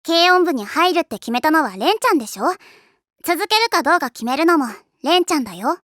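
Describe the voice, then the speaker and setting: A friendly, easygoing schoolgirl in an anime. The voice is high and bright with lively movement in pitch. She sounds level-headed and frank. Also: quick pace, no room sound, clean studio sound. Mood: calm, serious. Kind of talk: anime voice acting.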